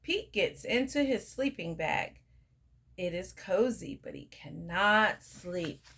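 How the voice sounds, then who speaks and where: A young woman reading a picture book aloud to children, sing-song and pausing to ask the listener questions. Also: medium pitch, lively intonation, vocal fry, fairly bright tone, slight background noise. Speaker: storyteller.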